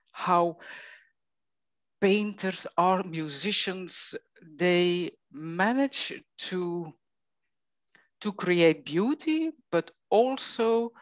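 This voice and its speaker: A middle-aged Belgian woman with a Flemish accent, speaking English as a coach in a conversational interview, slow and thoughtful with frequent fillers. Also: lowish voice, no echo. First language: Dutch.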